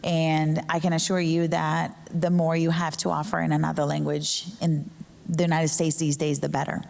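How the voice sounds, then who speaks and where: A woman's voice: low-pitched, flat delivery, moderate pace, creaky, a little mellow. A middle-aged woman from Brazil, a native Portuguese speaker, talking informally to an audience as a professor on a panel, searching for words at times. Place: large hall with an audience. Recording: echoey hall with long reverb.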